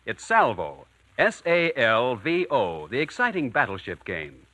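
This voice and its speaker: Bright, animated 1940s radio announcer man. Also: mid-range voice, measured pace, no room sound. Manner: polished, salesman-like. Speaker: radio announcer and sponsor pitchman. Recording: vintage 1940s radio broadcast.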